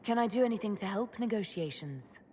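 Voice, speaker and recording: An actress playing a young female elf mage leader in a game, calm and measured. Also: mid-range voice, a little hiss or hum, close to an everyday voice. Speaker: player character leader.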